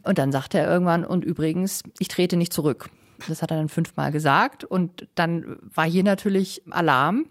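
A woman's voice: on the low side, normal speed. A woman working as a foreign correspondent, telling things in a chatty, colloquial interview style full of acted-out asides. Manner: chatty, colloquial, animated storytelling with quoted asides. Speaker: correspondent in Spain. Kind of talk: casual conversational interview report.

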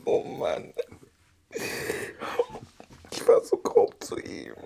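An actress in a naturalistic radio play, playing an overwrought, remorseful village woman with a husky, breathy voice. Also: drawn-out words, mellow. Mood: tearful, guilty.

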